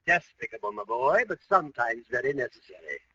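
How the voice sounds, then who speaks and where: A man's voice: mid-range voice, big pitch swings, a little fast, pushed and bright, breathy edge, far from the mic, heavily acted. An actor playing a pompous, grumbling older man in 1940s radio comedy. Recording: muffled, noisy old radio recording.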